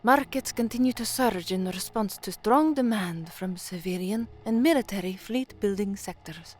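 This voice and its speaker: A woman's voice: mid-range voice, darkish tone, natural voice. An actress playing a hopeful, earnest young woman newsreader from the slums in a science fiction audio drama. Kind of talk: audio drama acting, newsreading and first-person narration.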